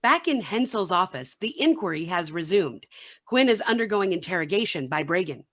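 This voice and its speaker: A woman's voice: on the low side, very animated, moderate pace. A woman narrating scripted stage directions for a radio play.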